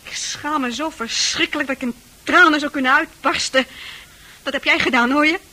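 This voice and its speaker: An actress in a Dutch radio play playing a scornful, proud prostitute with a brash, mocking manner. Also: female voice, high-pitched, moderate pace, pushed and bright, poor audio. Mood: bitter, ashamed. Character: hard, scornful prostitute, proud, wounded underneath.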